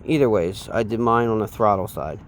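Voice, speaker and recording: A man giving a casual, unscripted hands-on tutorial, speaking haltingly and trailing off as he works with his hands. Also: on the low side, a little hiss or hum. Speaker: DIY installation demonstrator.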